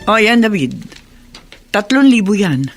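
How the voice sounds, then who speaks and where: A woman's voice: medium pitch, big pitch swings, unhurried, faint music behind. A woman playing a hardworking, fed-up widowed shopkeeper in a radio drama, exasperated and pleading. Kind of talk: Tagalog radio drama acting.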